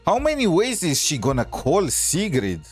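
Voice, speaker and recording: A man doing casual, joking gameplay commentary as a streamer, in a hesitant, halting way. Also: fairly high pitch, huge pitch swings, music in the background. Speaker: gaming streamer.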